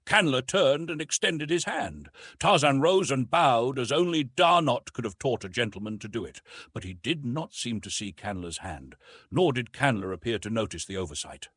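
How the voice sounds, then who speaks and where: Guy narrating an old adventure book, dramatic, really animated, kinda husky, super dry sound. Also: mid-range voice, moderate pace, no echo. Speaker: audiobook narrator.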